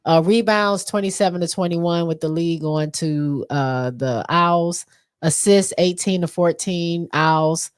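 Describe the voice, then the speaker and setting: A woman's voice: fairly low pitch, a little slow, clean tone. A woman with an American accent, stuffy from allergies, casually recapping basketball stats with lots of ums. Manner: reads stats with many fillers. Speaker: podcast co-host.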